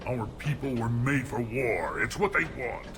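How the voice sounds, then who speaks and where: An actor playing a gruff, proud, warlike alien clan chief in a video game, with a gravelly, menacing voice. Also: male voice, mid-range voice, animated, moderate pace, noisy background, lightly acted. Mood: brooding, defiant. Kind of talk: video game character acting.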